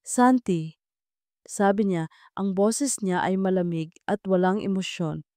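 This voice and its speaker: A woman's voice: medium pitch, fairly even intonation, a little slow, dark tone, clean tone, no room sound, studio quality. A woman reading a scripted dramatic love story as a storyteller.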